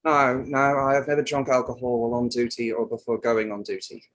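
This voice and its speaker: A plain-spoken riverboat crewman played by a man in a play reading gives evidence in a firm, matter-of-fact way. He sits at an average male pitch and answers insistently, a little on the defensive. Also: level tone, brisk, fairly bright tone, lightly acted, poor audio. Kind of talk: table read of an inquiry play.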